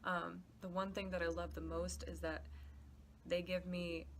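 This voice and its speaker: A woman's voice: on the low side, level tone, measured pace, slight background noise. A woman giving a casual behind-the-scenes walkthrough as a composer, explaining things in an informal, slightly hesitant way. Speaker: podcast composer. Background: music demo clips playing.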